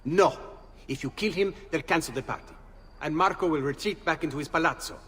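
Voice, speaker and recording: An actor playing a shrewd, wry ally in a game cutscene, giving firm counsel. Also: male voice, on the high side, a little fast, a bit bright, husky, in a large hall. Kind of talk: video game cutscene acting.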